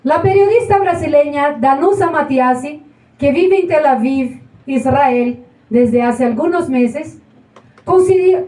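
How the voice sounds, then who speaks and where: Colombian actress reading with stage irony. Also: female voice, high voice, dark tone. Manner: ironic storyteller, mocking diminutives. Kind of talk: theatrical live reading to an audience. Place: small indoor theater. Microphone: far camera. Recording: roomy hall from a far camera.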